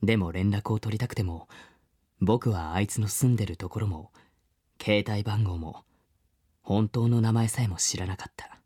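Wistful man telling boyhood memories, radio drama style, reflective, kinda husky, dry sound. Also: fairly low pitch, moderate pace, mellow, no echo. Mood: wistful, subdued. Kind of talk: scripted first-person radio drama narration.

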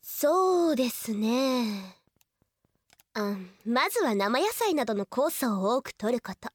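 A woman playing a glamorous female fashion model giving measured, posed interview answers in a scripted radio ad. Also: a little high, very animated, no room sound, studio quality, full character voice. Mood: poised, dreamy. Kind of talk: scripted radio advertisement acting.

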